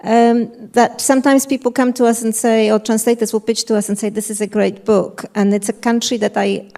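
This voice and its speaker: A woman editor speaks on a live panel, hesitant and often trailing off mid-thought. Her voice is warm and dark-toned, with a noticeable gravelly fry. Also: a little high, little pitch movement, brisk. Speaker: independent publisher's editor.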